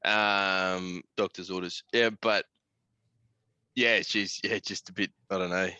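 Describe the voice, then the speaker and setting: A man's voice: sits low, fairly even intonation, slow pace, bright, forceful tone, no room sound, highs cut off. An Australian man chatting casually as a podcast host, blokey and sweary, full of slang.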